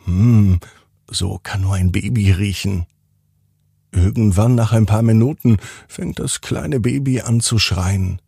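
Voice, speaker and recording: Slow, gravelly male bedtime storyteller. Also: fairly low pitch, mellow, no room sound, clean studio sound. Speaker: host and storyteller of a children's bedtime podcast. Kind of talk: narrated bedtime story for children.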